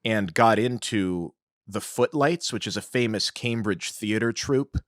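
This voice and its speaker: Male podcast host, deeper voice, conversational explainer, very dry recording. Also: measured pace, fairly bright tone, no room sound. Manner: explanatory, informal. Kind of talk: conversational podcast discussion, telling a researched story.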